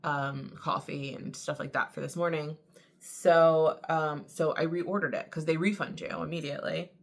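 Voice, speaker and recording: A young woman chatting casually to camera in a vlog, telling a story with lots of "like" fillers; her voice is a little raspy and she sounds tired. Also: low voice, steady tone, normal speed. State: tired from early waking. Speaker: vlogger.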